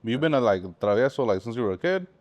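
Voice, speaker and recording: A man's voice: medium pitch, big pitch swings, fast talker, darkish tone, muffled recording. Male interviewer, casual, brief slangy prompts and reactions. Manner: short reactions and slangy follow-up questions.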